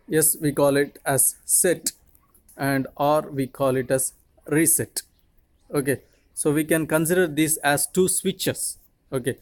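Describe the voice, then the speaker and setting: A man in his twenties, a native Tamil speaker with an Indian accent, giving an explanatory lecture in a halting, repetitive way. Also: a little high, dry recording. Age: 20s. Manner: halting, repetitive, frequently checking with "okay". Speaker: teacher, video channel host.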